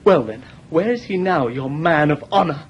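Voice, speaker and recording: A man's voice: on the high side, expressive, moderate pace, highs cut off, slight background noise, lightly acted. Man acting an anguished, impassioned young writer son. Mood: scornful. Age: young adult. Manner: impassioned, histrionic, formal period diction. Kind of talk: theatrical period drama acting.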